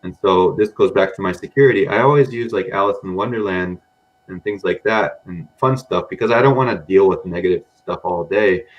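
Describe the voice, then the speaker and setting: Deep-voiced man, rambling and casual. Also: brisk, lo-fi sound. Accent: mixed American. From grew up in Japan among Americans. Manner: rambling, frequent "you know" and "um". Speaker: podcast guest, data scientist. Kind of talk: casual podcast interview.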